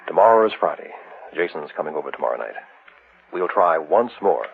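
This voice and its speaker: An actor in an old-time radio horror drama playing an obsessive husband who dabbles in occult ritual and mutters breathlessly. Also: male voice, rumbling low, very animated, fairly quick, vocal fry, heavily acted. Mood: coaxing, determined. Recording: noisy, tinny old radio recording.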